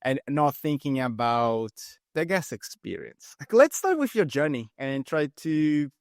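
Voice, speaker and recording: A man's voice: a little high, sing-song, a little slow, no room sound, studio quality. A man hosting a hospitality podcast, introducing his guest and asking questions in an eager, emphatic way. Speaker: podcast host. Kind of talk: interview hosting.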